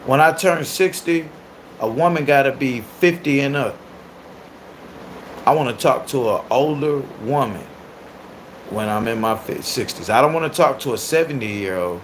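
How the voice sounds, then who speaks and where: A man in his thirties hosting a casual livestream and reacting to viewer comments. He is loud, animated and crude, and laughs often. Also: mid-range voice, moderate pace, a little hiss or hum, rough recording. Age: 30s. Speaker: livestream host.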